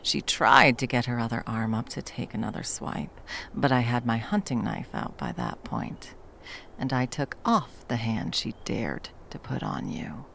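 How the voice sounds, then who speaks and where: A woman playing a battle-hardened, fiercely protective monster hunter in an intimate ASMR roleplay, speaking to a lover with dry, tender menace and a gravelly voice. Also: very deep voice, a bit bright, a little hiss or hum, heavily acted. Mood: quiet menace. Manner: menacing yet tender, slow deliberate storytelling with dry understatement.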